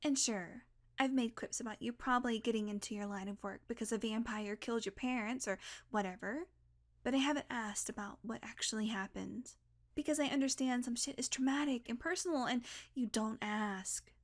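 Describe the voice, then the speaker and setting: A woman's voice: fairly high pitch, close to an everyday voice. A woman playing a sardonic, blunt vampire who is guardedly caring and coaxing, in an intimate audio roleplay. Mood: irritated, guarded. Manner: sarcastic, blunt, coaxing, sighing, deliberate pauses.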